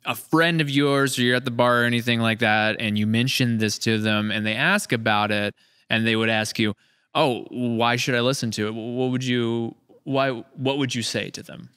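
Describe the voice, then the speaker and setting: A man's voice: medium pitch, normal speed, bright, forceful tone, clean tone, dry recording, clean studio sound. A man hosting a podcast in a casual, chatty interview style, speaking into a podcast microphone. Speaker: podcast host. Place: large indoor room with hard floor and open ceiling. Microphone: podcast microphone on a boom arm.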